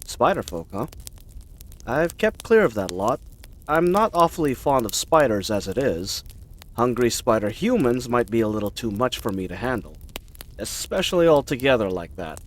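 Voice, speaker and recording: An animated, friendly knight voiced by a man in a fantasy audio roleplay, with a mid-pitched voice that swings freely up and down. He babbles in an eager, bumbling way, hesitant and easily flustered as he talks straight to the listener. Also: moderate pace, a little hiss or hum, close to an everyday voice. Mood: chatty, curious. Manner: chatty, rambling, hesitant, flustered.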